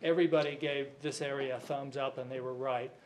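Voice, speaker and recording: A man's voice: mid-range voice, fairly even intonation, brisk. A man from Southern California giving formal remarks to a county board as an agency director, hesitant with frequent fillers and a light joking tone. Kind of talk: formal public meeting remarks.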